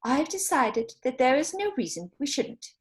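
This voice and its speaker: A woman's voice: high-pitched, very animated, moderate pace. A woman playing a smug, officious middle-aged headmistress in a script read, prim and formal. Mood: smug, official. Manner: prim, formal, self-satisfied. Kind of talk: table read of a film script.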